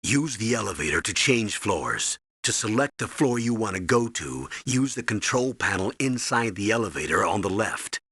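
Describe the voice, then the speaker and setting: A stern American colonel briefing an operative in a video game. His low voice is husky and forceful, with clipped, instructional authority. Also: big pitch swings, moderate pace, few pauses, no echo, exaggerated voice. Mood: instructive. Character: stern older military colonel giving mission orders by radio. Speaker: mission commander and briefer.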